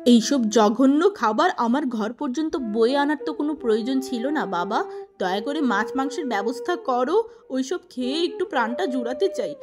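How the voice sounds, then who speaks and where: Spoiled, haughty young daughter, high voice acted by a woman. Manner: haughty, demanding. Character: spoiled, vain, defiant young eldest daughter. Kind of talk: cartoon moral-tale acting.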